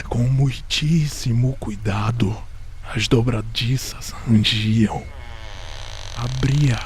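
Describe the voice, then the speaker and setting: A man with a Brazilian accent playing a nervous, obsessive madman in a gothic horror audio drama, intense and deliberate, often dropping toward a whisper. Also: mid-range voice, darkish tone, slightly rough. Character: nervous, obsessive madman confessing murder, insisting he is sane.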